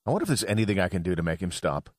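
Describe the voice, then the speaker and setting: A man's voice: low voice, very animated, very fast, dry recording. A man co-hosting a sports radio show, bantering casually with dry sarcasm. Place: radio studio.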